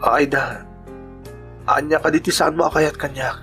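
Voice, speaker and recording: A man's voice: on the high side, moderate pace, bright, forceful tone, soft background music. A man playing an earnest, devoted suitor in an Ilocano radio drama, speaking with sincere emotion. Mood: earnest, pleading. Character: earnest lovestruck suitor, a man devoted to Aida and her boy.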